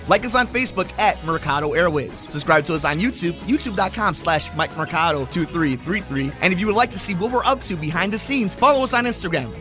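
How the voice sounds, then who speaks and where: A man reading a scripted promotional announcement as a podcast host. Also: on the high side. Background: music.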